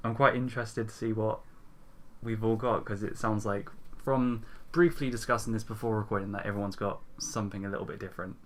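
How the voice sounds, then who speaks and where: A man's voice: on the low side, fairly even intonation, a little fast. A man hosting a podcast in an informal, chatty way. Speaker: podcast host. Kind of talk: casual chat.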